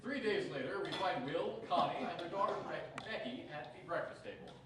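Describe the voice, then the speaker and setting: A man's voice: on the high side, lively intonation, brisk, background noise, lightly acted. A young man playing a hearty, formal announcer in an old-time radio show performed live on stage. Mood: upbeat. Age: young adult. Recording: very echoey distant stage recording.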